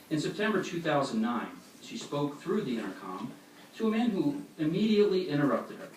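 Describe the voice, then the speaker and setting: A man giving an instructional religious talk to a congregation in a plain-spoken, explanatory way, reading out anecdotes as he goes. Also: mid-range voice. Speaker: congregation speaker giving a demonstration. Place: echoey meeting hall before an audience. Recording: echoey hall, distant mic, faint noise.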